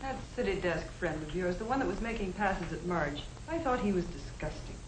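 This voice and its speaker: An actress playing a spirited young American working wife who coaxes and argues, in the style of a 1950 educational film. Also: female voice, lowish voice, expressive, fairly quick, natural voice. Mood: irritated. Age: young adult. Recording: echoey, faintly noisy 1950 film soundtrack.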